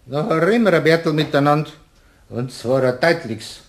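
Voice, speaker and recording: An actor playing a tipsy, stubborn husband with a Bavarian accent, blustering and mocking in a German radio play. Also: male voice, on the high side, animated, moderate pace. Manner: blustering, emphatic.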